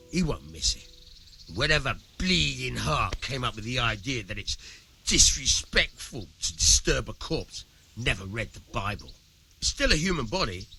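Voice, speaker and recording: An actor playing a shrewd, amoral village miller in a medieval video game, gruff, coarse and slyly persuasive. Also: male voice, mid-range voice, bright, forceful tone, exaggerated voice. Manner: sly, persuasive, coarse-tongued. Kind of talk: video game dialogue acting.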